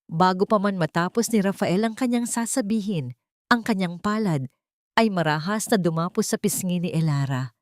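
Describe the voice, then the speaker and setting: A woman's voice: medium pitch, normal speed, no echo, studio quality. A woman reading scripted dramatic story narration in a solemn manner.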